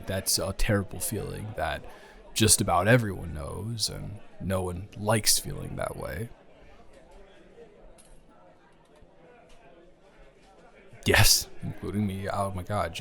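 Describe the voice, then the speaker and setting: A man's voice: sits low, gravelly, fairly bright tone, full character voice. A man playing an awkward, joking, smitten young lover in an improvised intimate audio roleplay, hesitant and wordy with self-deprecating humor. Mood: sympathetic, sincere.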